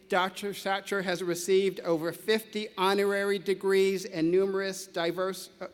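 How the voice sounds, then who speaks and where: A man with a high, light voice reads a prepared introduction at a podium microphone, stumbling once or twice over his script. The large hall gives his voice a long, ringing tail. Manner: reads a prepared introduction, occasional small slips. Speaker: dean introducing keynote speaker. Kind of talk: formal ceremonial introduction. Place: large indoor ceremony hall.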